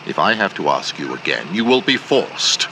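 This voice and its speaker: A man's voice: low-pitched, sing-song, moderate pace, bright, forceful tone, a little hiss or hum, heavily acted, rough recording. A man playing a stern, cold father and boss in a radio drama, formal and commanding.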